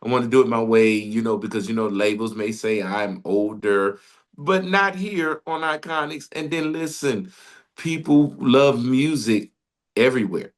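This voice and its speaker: A man hosts a radio interview, talking in an enthusiastic, meandering way and laughing often. His voice sits at an ordinary middle pitch, and he chats casually with his guest. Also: measured pace. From Detroit, Michigan.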